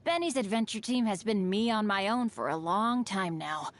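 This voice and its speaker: A woman's voice: mid-range voice, expressive, normal speed, natural voice. A woman voicing a cheerful, unlucky teenage boy adventurer for a video game, earnest, casual and humble. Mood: casual, modest. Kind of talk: video game character voice lines.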